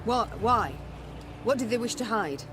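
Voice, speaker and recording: A woman's voice: on the high side, animated, unhurried, noisy background. Commanding warrior queen. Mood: stern, accusing. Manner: formal, commanding, archaic phrasing. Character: stern, imperious warrior queen. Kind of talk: scripted video game voice acting.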